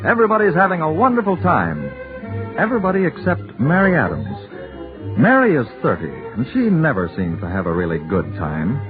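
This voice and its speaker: Animated 1940s radio announcer, male. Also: a little high, moderate pace. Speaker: announcer and narrator. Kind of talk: dramatic scripted narration, 1940s radio. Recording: tinny 1940s radio with music behind.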